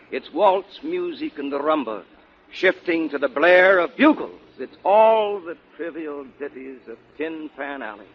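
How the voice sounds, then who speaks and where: An American man giving a declamatory patriotic oration in deliberate phrases on 1940s radio. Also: on the high side. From United States, Kansas. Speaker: guest speaker. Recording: dull 1940s radio recording.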